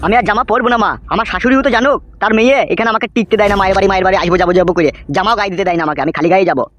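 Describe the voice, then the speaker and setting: A man's voice: sits high, rapid-fire, a bit bright, slight background noise. A man voicing a crude, henpecked young husband who complains in exasperation in a comedy cartoon, with a rural West Bengal accent. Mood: complaining.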